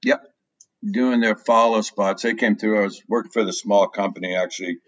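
A man's voice: on the low side, fairly even intonation, moderate pace, dry recording, rough recording. A man in his forties from New Hampshire, telling his story in a loose, rambling way with lots of ums. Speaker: guest. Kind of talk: casual interview chat.

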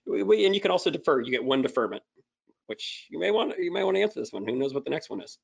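A man's voice: a little high, very animated, fast talker, vocal fry, husky. A man co-hosting a running podcast, easygoing and upbeat, steering the questions. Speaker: podcast co-host. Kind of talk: casual podcast chat.

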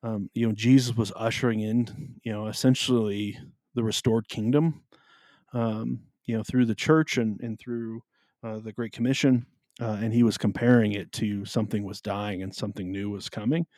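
A man with a deep, carrying voice co-hosting a casual podcast about the Bible, explaining at an easy pace with plenty of ums. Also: steady tone, moderate pace, a little mellow, dry recording. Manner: easygoing, explains with many ums. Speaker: podcast co-host.

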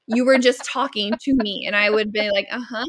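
A woman's voice: medium pitch, fairly even intonation, a little fast, a bit bright. A woman co-hosting a podcast, teasing her co-host dryly and laughing often. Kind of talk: casual chat.

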